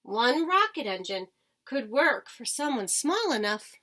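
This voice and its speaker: A woman's voice: fairly high pitch, very animated, measured pace, a bit bright, heavily acted. A woman voicing a thoughtful astronaut commander in a children's storybook, with exaggerated expression. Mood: musing.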